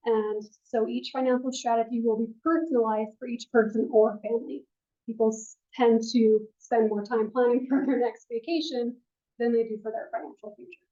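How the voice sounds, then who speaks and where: Soft-spoken woman teaching a money class. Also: on the high side, steady tone, moderate pace. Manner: soft-spoken, with frequent ums. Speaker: financial advisor teaching a class. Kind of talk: classroom presentation. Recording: dull lo-fi room recording.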